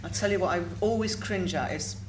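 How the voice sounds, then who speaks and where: A rambling, self-deprecating woman fielding fan questions, her voice sitting a little low. She is picked up from some distance in a big echoey hall, with loud room noise around her. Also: fairly quick. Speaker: voice actress guest at a fan Q&A. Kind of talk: candid panel answers. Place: large event hall with audience.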